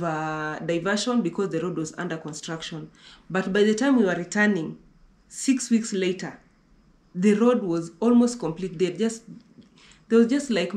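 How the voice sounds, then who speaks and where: A woman's voice: on the low side, darkish tone. A young woman from Kenya talks through road-trip tips from a living-room sofa. She chats loosely, doubling back and repeating phrases as she goes. The room around her sounds echoey and live. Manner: chatty, repeats herself, restarts often. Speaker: travel vlogger. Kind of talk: casual conversational travel talk.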